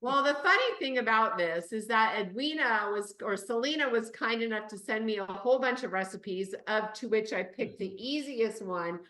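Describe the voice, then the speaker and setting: A woman's voice: a little high, lively intonation, normal speed, few pauses, pushed and bright, roomy sound, rough recording. A woman hosting a casual group chat, prompting her guests to share their backgrounds. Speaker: host.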